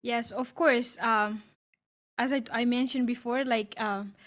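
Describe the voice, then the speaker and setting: A woman's voice: fairly high pitch, fairly even intonation, a bit bright. A young woman from East Timor, whose first language is Tetun, answering questions on a video call with many "like" fillers and restarts. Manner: frequent "like" fillers, halting restarts. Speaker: student panelist. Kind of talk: online Q&A answer.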